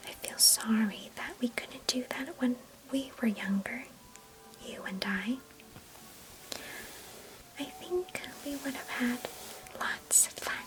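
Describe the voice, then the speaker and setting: A woman playing a chatty, affectionate, nostalgic cousin in an ASMR roleplay, whispering gently in an unhurried, breathy way. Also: on the high side, expressive, rough recording. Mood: wistful, chatty. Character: chatty, affectionate, nostalgic female cousin, former ballet student.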